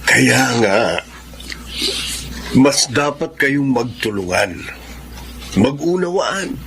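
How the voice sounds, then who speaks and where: A man's voice: mid-range voice, animated, very slow, gravelly, a bit bright, exaggerated voice. A concerned Filipino elder brother in a radio drama, with a mid-range voice. He is middle-aged and advises firmly, with faint noise around him. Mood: concerned, earnest. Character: caring, reproachful older brother giving firm advice.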